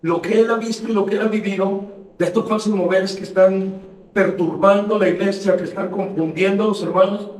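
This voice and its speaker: A man's voice: high-pitched, fairly even intonation, fairly quick, darkish tone. A man who is a pastor hosting a radio program, reading scripture and talking with a fervent, emphatic preaching cadence. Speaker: radio show host and pastor. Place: radio studio. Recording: roomy echo, voice distant from mic.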